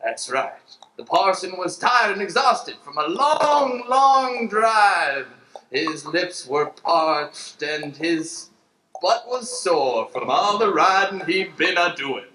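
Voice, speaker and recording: A man's voice: sits high, sing-song, takes it slowly, pushed and bright, slight background noise. A man narrating an improvised Western radio serial on stage in a dramatic, folksy style. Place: live improv show on a stage. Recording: echoey stage.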